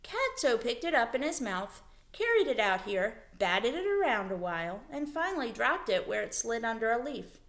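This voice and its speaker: Cocky small male storybook mouse, played by a woman reader, bossy and impatient, high swooping voice. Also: very animated, moderate pace, few pauses, fairly bright tone. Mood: impatient, indignant. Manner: insistent, indignant, bargaining. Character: bold, impatient little male talking mouse.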